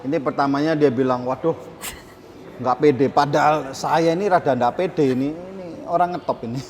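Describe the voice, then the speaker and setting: A man's voice: a little high, normal speed. A man from hosting a casual interview, dropping in English phrases. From Surabaya, East Java, Indonesia. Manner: mixes in English phrases, emphatic. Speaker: talk show host.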